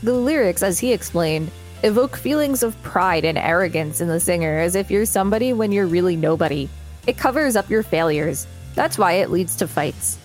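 A woman's voice: lowish voice, lively intonation, normal speed, darkish tone, soft background music, low-quality recording. A woman co-hosting a podcast, reading articles aloud with casual remarks. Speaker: podcast co-host.

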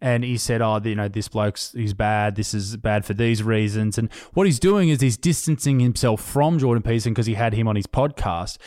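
A man's voice: fairly low pitch, moderate pace, few pauses, darkish tone, dry recording, studio quality. An Australian man hosting a podcast in casual chat, blunt and swearing freely in loose run-on talk. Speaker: podcast host.

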